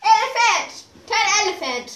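A child hosting a playful, improvised podcast with a silly, excitable, giggly manner. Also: very high voice, normal speed. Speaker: podcast host. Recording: noisy roomy home recording.

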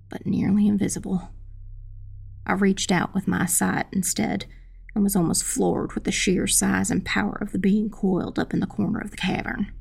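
A woman playing a determined witch who narrates her own dark fantasy audiobook tale in a measured, dramatic, husky and creaky voice. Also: mid-range voice, exaggerated voice. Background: music.

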